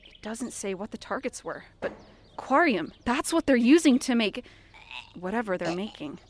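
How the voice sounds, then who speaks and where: A woman's voice: fairly high pitch, very animated, moderate pace, a little hiss or hum. A woman playing an inquisitive, easily alarmed young reporter in an audio fiction podcast, exclamatory and full of questions. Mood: intent, curious.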